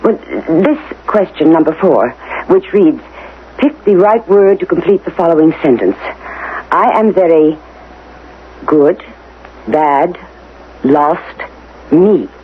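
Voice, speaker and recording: A woman acting in a radio drama as an anxious, dutiful administrator of a regimented future, speaking formally and haltingly. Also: on the low side, lively intonation. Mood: uneasy, puzzled. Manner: hesitant, faltering, formal. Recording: tinny, hissy 1980 radio broadcast.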